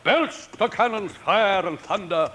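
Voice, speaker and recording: An actor playing a pompous old doctor in a Western radio drama, declaiming in florid theatrical style. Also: male voice, high voice, a little slow, a bit bright, a little hiss or hum. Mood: grandly declaiming. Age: older. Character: pompous old poetry-spouting frontier gentleman doctor. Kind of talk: 1950s Western radio acting.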